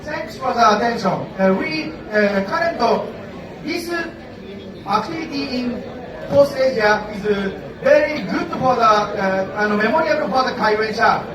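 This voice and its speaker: A man with a Japanese accent and a very high voice speaks halting English, stopping often to find his words. He is heard from a distance in a strongly echoing conference hall. An audience murmurs around him. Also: expressive, crowd noise. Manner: halting, searching for words with many fillers.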